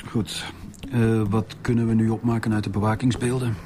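An actor playing a cautious, formal police director in a radio drama. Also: male voice, on the low side, little pitch movement, moderate pace, warm tone, slight background noise, lightly acted. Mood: businesslike. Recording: music under the dialogue.

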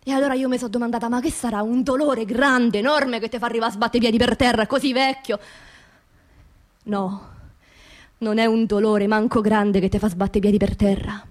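Woman to play working-class Roman widow, high voice, raw grief and anger, intimate yet impassioned radio drama monologue in street dialect. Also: steady tone, a little fast, close to an everyday voice. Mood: puzzled, intense. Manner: confiding, impassioned, repeating phrases for emphasis. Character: grieving, angry working-class widow of a construction worker.

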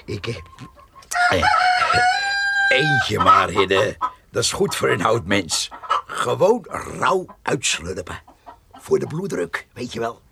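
An actor playing a sly, rambling old farmhand in a radio play, hesitant and repeating himself. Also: male voice, lowish voice, very animated, takes it slowly, vocal fry, pauses often, full character voice. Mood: sheepish, sly. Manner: hesitant, repeats words, refers to himself by name. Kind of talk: Dutch radio play acting. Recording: noisy radio play recording.

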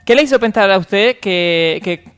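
High, clear-voiced Spanish male radio host. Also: moderate pace, a bit bright. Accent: Spanish (Spain). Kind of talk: comedy call-in radio hosting.

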